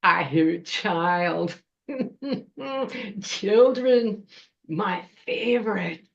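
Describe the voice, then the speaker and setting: Woman voicing a hungry, drawn-out troll witch. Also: mid-range voice, expressive, lo-fi sound. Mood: gleeful, menacing. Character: hungry female troll witch, gloating, gnarly monster.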